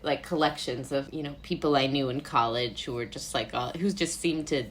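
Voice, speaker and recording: Low-voiced young woman, chatty and goofy. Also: normal speed, slight background noise. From Brooklyn, New York. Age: young adult. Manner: self-described goofy, chatty with frequent "like". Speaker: interview guest, playwright and actor. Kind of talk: casual interview chat.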